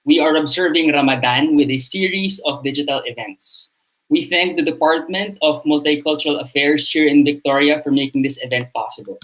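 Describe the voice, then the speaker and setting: A man hosting an interview over a video call, introducing it and then asking questions haltingly with many fillers. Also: a little high, a bit bright, low-quality recording. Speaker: interview host.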